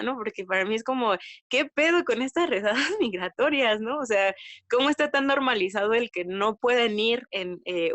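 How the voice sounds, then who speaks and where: A woman from Mexico with a Mexican accent, chatting casually as a podcast guest, retelling anecdotes in an animated way with slang and quoted dialogue. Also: mid-range voice, no room sound. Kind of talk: casual conversational storytelling.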